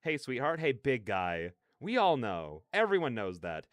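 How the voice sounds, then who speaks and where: Clear-voiced man rambling casually on a livestream. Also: mid-range voice, unhurried, dry recording. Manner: rambling, animated slangy asides, self-interrupting. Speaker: livestreamer, content creator. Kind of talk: casual livestream rant and chat.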